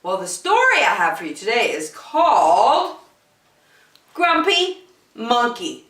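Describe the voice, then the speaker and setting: A woman reading a picture book aloud to children as a storyteller, in an expressive style. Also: on the high side, slow. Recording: roomy, echoey sound.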